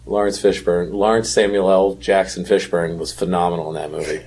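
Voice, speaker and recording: A man with a husky voice chatting casually and enthusiastically as a podcast co-host about films. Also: deep voice, level tone, fairly quick, darkish tone.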